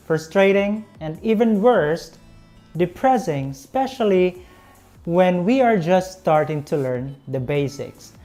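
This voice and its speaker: Young filipino woman, native visayan speaker, teaching english, slow careful mellow voice, soft music behind. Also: lowish voice. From Philippines. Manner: slow, careful, encouraging delivery. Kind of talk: YouTube English lesson. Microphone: lapel mic.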